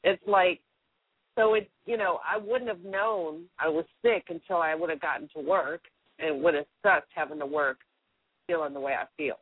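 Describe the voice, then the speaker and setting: A woman with a deep voice, sick with a cold, chatting casually and giggling as co-host. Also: normal speed, husky, no echo. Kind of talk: casual chat. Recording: tinny phone line.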